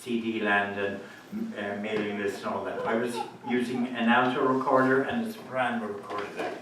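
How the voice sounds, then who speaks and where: A man's voice: lowish voice, steady tone, a little fast, a crowd in the background. An Irish man whose first language is Irish, chatting casually between songs at a live folk show with anecdotes. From Ireland. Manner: chatty, anecdotal, laughing asides. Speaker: folk musician. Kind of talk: casual stage banter. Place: community hall. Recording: echoey live hall, mic far off.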